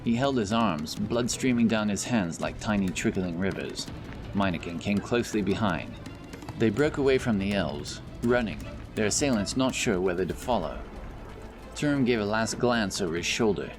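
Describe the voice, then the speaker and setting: Deep-voiced man narrating fantasy over music. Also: expressive, moderate pace. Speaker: audiobook narrator. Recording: music bed behind the voice. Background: background music and effects.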